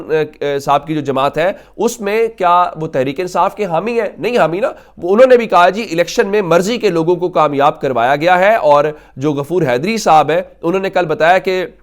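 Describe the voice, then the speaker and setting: A man with a Pakistani accent giving political news commentary to viewers as a commentator, emphatic and fond of rhetorical questions. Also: fairly high pitch.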